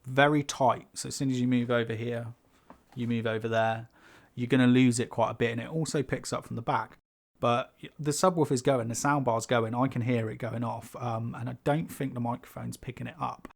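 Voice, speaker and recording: A man's voice: medium pitch, fairly even intonation, moderate pace, creaky. Chatty man, sibilant. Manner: rambling, chatty, many fillers and asides. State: rough throat. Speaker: YouTube creator. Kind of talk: casual unscripted talk to camera. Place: small carpeted home room with foam panels. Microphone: pencil condenser on a stand above the speaker.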